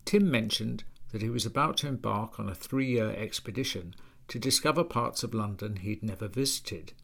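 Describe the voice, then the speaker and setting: A man's voice: lowish voice, normal speed, vocal fry, a bit bright. Male podcast host and interviewer. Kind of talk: scripted narration and field interviewing.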